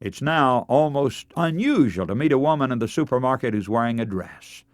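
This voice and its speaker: A man with an American accent preaching a prepared radio sermon in an earnest, emphatic, deliberate oratorical manner. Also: medium pitch, expressive, no echo, studio quality.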